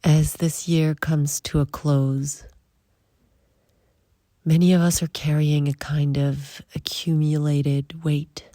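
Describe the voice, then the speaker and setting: A woman leading a guided meditation in soft, breathy, short phrases with long pauses, often trailing toward a whisper. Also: low-pitched, no echo, studio quality.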